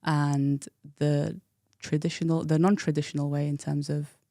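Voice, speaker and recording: A woman's voice: low-pitched, level tone, moderate pace, warm tone, dry recording, clean studio sound. A woman in her thirties from the UK, a guest telling her life story frankly and reflectively, with frequent fillers. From United Kingdom. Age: 30s. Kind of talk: candid personal interview.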